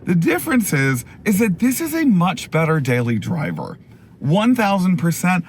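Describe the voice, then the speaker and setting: A man hosting a casual, chatty car review in an animated, opinionated, enthusiastic way, his voice going a little hoarse. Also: sits high, moderate pace. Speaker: car review video host.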